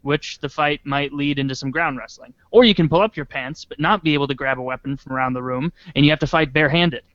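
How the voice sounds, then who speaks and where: A man co-hosting a podcast, setting up hypotheticals and joking in a wry, deadpan way. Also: a little high, fast talker, few pauses, dry recording, lo-fi sound. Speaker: podcast co-host. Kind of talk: casual comedic chat.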